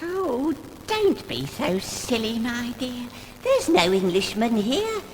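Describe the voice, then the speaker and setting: A woman playing a giant's placating, gently scolding wife in a sing-song, theatrical storytelling manner. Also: on the high side, very animated, slow. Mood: soothing, chiding. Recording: reverberant hall, loud background noise.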